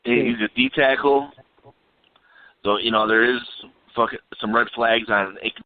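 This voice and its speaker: A man's voice: mid-range voice, normal speed, dry recording. A man co-hosting a casual sports podcast, blunt and swearing, stammering as he starts his sentences. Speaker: podcast co-host. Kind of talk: casual sports call-in chat. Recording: dry tinny phone-like line.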